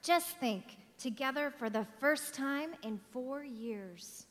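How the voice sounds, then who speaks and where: A woman's voice: high-pitched, slow. A woman playing an eager, affectionate little girl in a staged radio play. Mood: joyful, loving. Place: on stage in a large theatre. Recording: theatre PA with long reverb.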